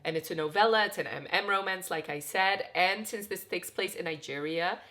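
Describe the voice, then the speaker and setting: A woman's voice: lowish voice, fairly quick, sharp tone. A young woman chatting casually about a book she is reading, rambling with lots of "like", her voice a bit off because she is getting sick and tired. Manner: rambling, filler-heavy, frequent "like". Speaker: book vlogger.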